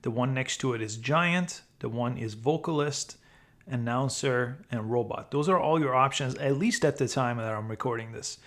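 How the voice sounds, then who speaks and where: A man's voice: mid-range voice, moderate pace. A man hosting a tutorial, explaining step by step how to use an app feature. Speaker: tutorial host. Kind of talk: how-to screen tutorial narration.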